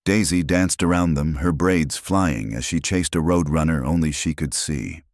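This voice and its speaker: A man narrating a scripted western drama in a slow, even storytelling manner. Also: rumbling low, no echo, studio quality.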